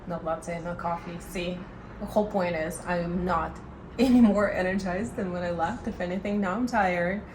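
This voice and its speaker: A woman in her thirties chatting casually in a vlog at her table at home, rambling and self-deprecating, her voice slightly raspy from talking too much. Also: lowish voice, normal speed, slight background noise, poor audio. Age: 30s. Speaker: vlogger.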